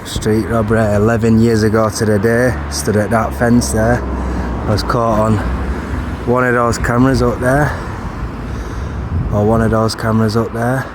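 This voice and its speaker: A man's voice: lowish voice, flat delivery, moderate pace. Man in his thirties outdoors. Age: 30s. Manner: repetitive, restarting, insistent. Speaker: vlogger. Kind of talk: first-person video to camera. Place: outdoors by a street. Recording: outdoor street noise. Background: traffic noise.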